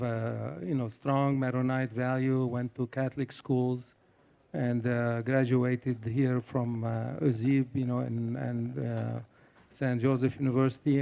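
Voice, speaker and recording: Gravelly Lebanese-born man on a panel. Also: mid-range voice, a little mellow. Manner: hesitant, many fillers. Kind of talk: conference panel talk. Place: conference hall.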